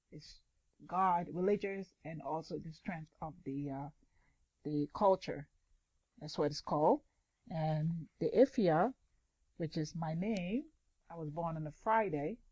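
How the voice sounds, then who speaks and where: Low-voiced woman guest explaining with enthusiasm. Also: dark tone, dry recording, dull audio. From Ghana, father's side. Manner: enthusiastic, explaining slowly with pauses. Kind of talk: casual interview chat.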